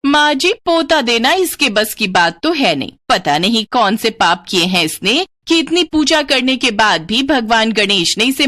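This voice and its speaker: A woman voicing a scornful, nagging grown sister-in-law with a loud, taunting delivery. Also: mid-range voice, no room sound.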